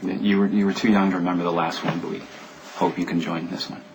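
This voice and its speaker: Brooding wealthy man, impatient, lowish voice, soap opera drama, music underneath. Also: little pitch movement, fast talker, background noise. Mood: firm. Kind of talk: television soap opera acting.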